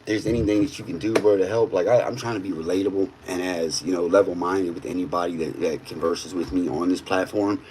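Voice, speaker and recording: A man's voice: sits low, fairly even intonation, brisk, hardly any pauses, a little mellow, a little hiss or hum, poor audio. A man in his thirties talking bluntly in casual streetwise slang on a livestream. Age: 30s. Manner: blunt, streetwise, peppered with "bro".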